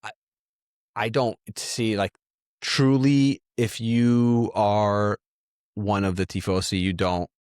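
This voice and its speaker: A man whose first language is Italian, talking in a casual chat, animated and restarting his sentences as he thinks aloud. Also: fairly low pitch, slow pace, clean tone, no echo, clean studio sound.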